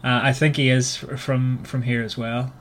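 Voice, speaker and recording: A man from Northern Ireland with a Northern Irish accent hosts a casual book discussion, talking in a halting way and often repeating words. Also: mid-range voice, flat delivery, slight background noise. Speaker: podcast host.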